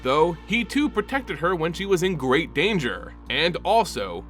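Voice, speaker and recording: A man with a slightly high voice tells a scripted fantasy story as a channel host. His delivery is measured and dramatic, with lively swings in pitch. Soft music plays behind him. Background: background music.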